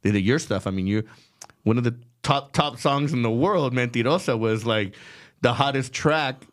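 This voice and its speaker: A man's voice: medium pitch, moderate pace, no echo, clean studio sound. An American man from Los Angeles, talking earnestly and conversationally as an interview host, with emphatic repetitions. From Los Angeles, United States.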